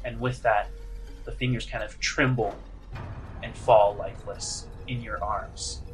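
A man narrating a tabletop game as game master, improvising descriptions in a conversational, halting way. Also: fairly low pitch, steady tone, over music.

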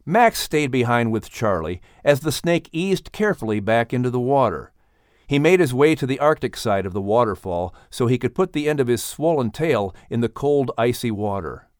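A man narrating a children's audiobook in an expressive, measured storytelling style. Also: medium pitch, dry recording, studio quality.

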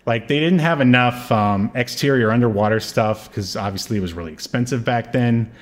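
Guy cohosting a podcast, normal mid voice, just chatting casually, kinda rambles as he thinks out loud. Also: mid-range voice, brisk. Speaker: podcast co-host. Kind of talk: casual unscripted chat.

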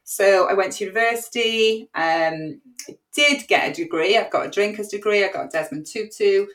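A woman's voice: mid-range voice, normal speed. A woman with a Welsh Cardiff accent, co-hosting a podcast and telling stories in a chatty way with lots of fillers. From Wales. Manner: chatty, lively, laughs often, many fillers. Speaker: podcast co-host. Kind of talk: casual storytelling chat.

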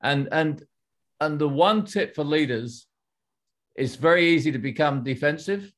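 A middle-aged man, a former executive and author, answering interview questions in a measured, explanatory way with frequent restarts. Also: fairly high pitch, lively intonation.

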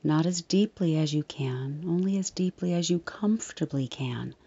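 Low, breathy woman guiding meditation. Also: muffled recording. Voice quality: soft, breathy, often near whisper. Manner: soothing, unhurried, gently reassuring. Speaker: guided meditation host. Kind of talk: guided meditation narration.

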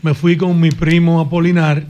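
High-pitched Dominican man telling stories. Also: little pitch movement, moderate pace, dark tone, lo-fi sound. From Dominican Republic, grew up in the small town of Castillo. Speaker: radio show guest recounting youth. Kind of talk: casual anecdotal storytelling.

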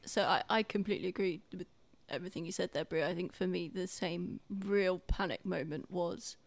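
A woman's voice: lowish voice, normal speed, gravelly, no room sound. Earnest woman in a dry, close recording. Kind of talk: casual podcast discussion.

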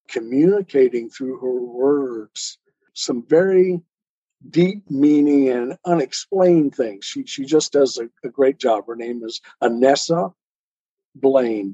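A man's voice: mid-range voice, warm tone, husky, no echo. A man answering as an interview guest in a conversational, earnest and explanatory way, on a lo-fi recording. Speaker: interview guest, poet and author. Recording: dull lo-fi sound.

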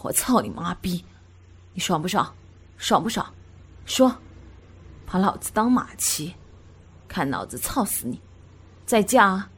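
A woman voicing a crude, domineering young man who swears and barks commands in a gruff, lowered voice. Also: expressive, normal speed, pauses often. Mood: rough, domineering.

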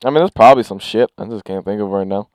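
A man's voice: on the low side, a little mellow, dry recording. A man, a comedian and podcast co-host who teases his partner playfully, close to a microphone. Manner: teasing, playful, quick to mock. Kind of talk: casual comedy podcast banter.

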